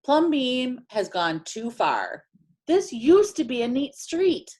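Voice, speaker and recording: Lady reader doing nosy indignant neighbors in a kids story, high and scolding, super animated. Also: takes it slowly, exaggerated voice. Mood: scandalized. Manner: exclaiming, scolding, emphatic. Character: indignant, gossipy chorus of conformist neighbors.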